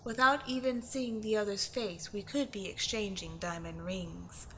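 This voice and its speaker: A woman voicing a giant female sphinx, a flirty, teasing and excitable temple guardian, in a playful, expressive audio roleplay. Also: medium pitch, a little slow, a little hiss or hum. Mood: mysterious, reciting. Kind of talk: roleplay audio acting addressed to the listener.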